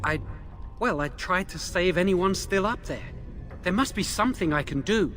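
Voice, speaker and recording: A man's voice: high voice, expressive, normal speed, fairly bright tone, soft background music. An actor playing a remorseful, anxious young male blood mage who pleads hesitantly, in video game dialogue. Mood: uneasy.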